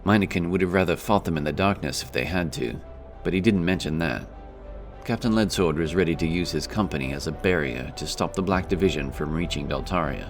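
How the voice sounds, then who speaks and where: Deep-voiced man narrating fantasy. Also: a little fast, faint music behind. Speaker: audiobook narrator. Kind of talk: scripted fantasy story narration.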